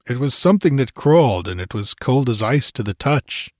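A man voicing a gruff barbarian warrior who speaks in short, grim grunts. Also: medium pitch, sing-song, moderate pace, darkish tone, no room sound, studio quality.

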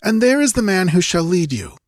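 A man's voice: high-pitched, sing-song, brisk, darkish tone, dry recording, clean studio sound, voice pushed up. A man voicing an imperious, decisive princess in a fantasy story, with a curt, commanding manner.

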